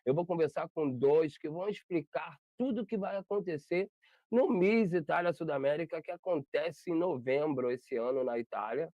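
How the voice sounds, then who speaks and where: A man's voice: on the high side, normal speed, no room sound. A Brazilian man hosting an interview over a video call, chatty and rambling, often correcting himself. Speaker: interview host. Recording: dull lo-fi video call audio.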